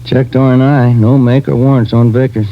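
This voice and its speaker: A man as a brisk businesslike police detective. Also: medium pitch, level tone, natural voice. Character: steady male police detective partner. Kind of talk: 1950s radio crime drama. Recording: hissy muffled old radio recording.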